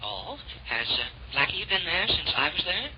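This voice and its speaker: A man playing a smooth-talking, menacing conspirator in an old-time radio drama. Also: a little high, sing-song, normal speed. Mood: guarded. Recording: noisy muffled vintage radio phone scene.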